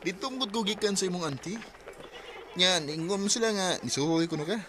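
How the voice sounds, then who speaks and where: A man playing a hesitant young man courting a woman in a radio drama, gentle and laughing nervously. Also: sits high, fairly quick, heavy background noise, natural voice.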